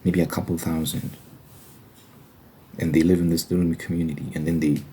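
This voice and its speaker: A man's voice: deep voice, level tone, normal speed, warm tone, breathy edge. A man giving an informal ASMR-style Bible commentary, arguing his points emphatically with rhetorical questions. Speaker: host of an ASMR Bible study channel.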